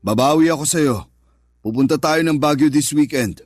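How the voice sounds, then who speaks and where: A man's voice: a little high, moderate pace, a little mellow, dry recording, clean studio sound, close to an everyday voice. An actor playing a rich, jealous, controlling businessman lover in a Filipino radio drama, firm and possessive. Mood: placating. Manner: possessive, firm, reassuring turning threatening. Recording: music bed under the dialogue.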